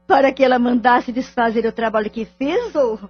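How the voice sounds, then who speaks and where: Female actor, wronged Brazilian matriarch, firm, raspy edge, audio drama, dull recording, faint music behind. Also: fairly high pitch, moderate pace, lightly acted. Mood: bitter, sarcastic. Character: wronged, bitter, sarcastic wife and firm mother.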